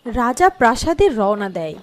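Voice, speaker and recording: A woman's voice: a little high, big pitch swings, normal speed, a little hiss or hum. A woman narrating a scripted fairy tale as a storyteller.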